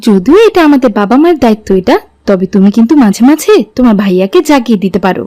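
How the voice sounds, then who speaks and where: A woman playing a devout, gentle mother in an Islamic cartoon, speaking in a measured, instructive way. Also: a little high, expressive, close to an everyday voice. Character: devout, gentle mother guiding her children.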